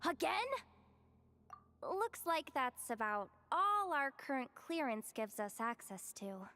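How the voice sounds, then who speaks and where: A woman voicing an upbeat young adventurer in a video game, lively and teasing with sudden exclamations. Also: sits high, takes it slowly, heavily acted. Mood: reading.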